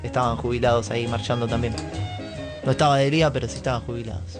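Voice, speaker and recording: A man with an Argentine accent co-hosting a casual radio talk, often pausing as he recalls details. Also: medium pitch, steady tone. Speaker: radio show co-host. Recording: music clearly playing behind the voice. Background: music with guitar.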